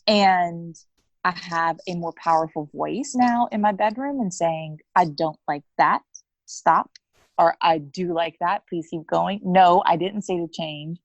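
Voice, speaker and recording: A woman's voice: fairly low pitch, unhurried, dry recording, lo-fi sound. A woman in her thirties speaking frankly as an interview guest, acting out little bits of dialogue as she talks. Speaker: coaching client, interview guest. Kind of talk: candid conversational interview.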